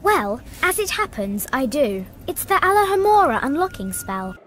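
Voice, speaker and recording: A prim schoolgirl witch in a video game, high and very animated. She is a bossy know-it-all, earnest and scolding, with a faint rumble of noise behind her. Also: moderate pace. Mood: smug.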